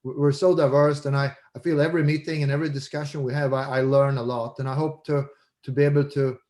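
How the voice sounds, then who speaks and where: A man's voice: mid-range voice, flat delivery, quick pace, a little mellow. A man from Sweden with a Swedish accent, speaking informally as a new association president in an online meeting, often repeating words as he goes. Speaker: incoming president of a professional association. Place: video conference call.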